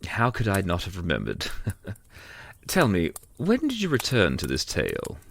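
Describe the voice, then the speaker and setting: An actor playing a sly, amused, sinister otherworldly figure, theatrically savoring the words. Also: male voice, sits low, huge pitch swings, a little slow, creaky, a little hiss or hum, full character voice. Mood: sinister amusement. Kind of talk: audio drama acting.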